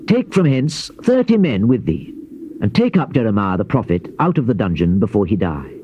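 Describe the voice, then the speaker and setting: A man's voice: on the low side, sing-song, normal speed. A man playing a weak, fearful king with formal, grave speech in a biblical audio drama on old cassette. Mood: grave, uneasy. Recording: muffled, noisy old cassette tape.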